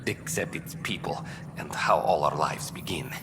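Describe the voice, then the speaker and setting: A man's voice: a bass voice, moderate pace, in a whisper. A man playing a solemn, theatrical colony bureaucrat in a video game, making formal, grandiose pronouncements. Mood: instructive. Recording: reverberant, clearly noisy background.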